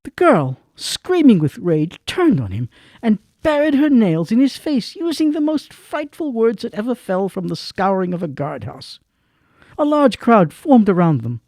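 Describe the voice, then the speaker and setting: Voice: medium pitch, big pitch swings, measured pace, a little mellow, no room sound. A narrator telling a dramatic story in an audio drama. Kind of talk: scripted narration.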